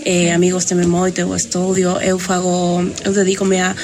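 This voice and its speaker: A woman's voice: on the low side, monotone, normal speed, a little mellow, a little hiss or hum. A woman from Argentina speaking as a language learner in a casual outdoor interview, hesitant with many fillers. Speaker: language learner, Camino guide. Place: outdoor terrace. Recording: outdoor terrace with crowd chatter.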